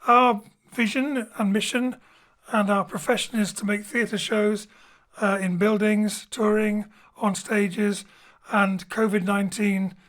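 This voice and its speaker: A man's voice: high-pitched, steady tone, slow pace, a bit bright. A man speaking as host and director, giving an informal, explanatory introduction from a home studio. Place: home studio, remote link.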